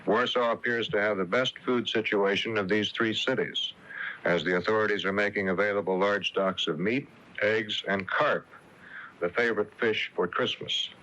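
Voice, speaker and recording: Male spokesman, American, bright edgy tone, formal statement, dull radio clip. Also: on the low side, fairly even intonation, moderate pace. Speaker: spokesman giving a briefing. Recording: dull lo-fi radio news soundbite.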